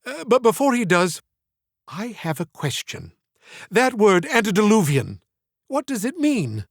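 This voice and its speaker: A man voicing a flattered, gushing woman in her seventies in an audiobook. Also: sits high, measured pace, no echo, studio quality, pitched above the performer's own voice, heavily acted. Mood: delighted, curious. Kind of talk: audiobook character acting.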